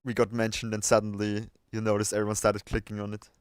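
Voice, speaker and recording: Low voiced guy cohosting a stream, pretty flat intonation, casual, stops and repeats words a bit. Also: brisk, clear, resonant tone, no echo. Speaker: livestream co-host. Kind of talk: casual chat.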